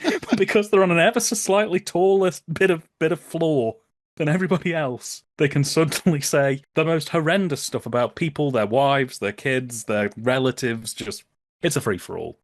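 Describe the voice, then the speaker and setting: A man in casual conversational commentary, telling anecdotes in an amused, animated way. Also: on the high side, normal speed, no echo. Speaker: podcast guest.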